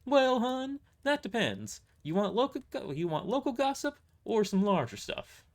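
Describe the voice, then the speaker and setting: Man acting older woman, high lilting voice, rural twang, doting, chatty, audio drama. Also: very animated, normal speed. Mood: chatty, eager. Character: aged blonde receptionist woman, chatty, folksy, doting. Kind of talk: amateur audio drama character voice.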